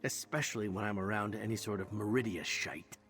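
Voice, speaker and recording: An actor playing a troubled, self-sacrificing adventurer in a fantasy video game, wry and rueful. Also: male voice, on the low side, brisk, a bit bright, soft background music, lightly acted. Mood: rueful, resolved.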